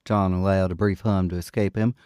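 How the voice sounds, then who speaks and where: A man's voice: deep voice, level tone, fairly quick, darkish tone, smooth, dry recording, clean studio sound. A man who works as an audiobook narrator and panel host, speaking expressively.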